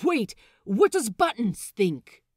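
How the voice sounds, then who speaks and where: An earnestly silly pirate man, put on by a woman narrator, full of naive enthusiasm. The pitch sits a little high and moves around freely in this dry audio story. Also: sing-song, slow, a little mellow, husky, dry recording, exaggerated voice. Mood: eager, puzzled. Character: eager, goofy male pirate crewmate, earnestly silly.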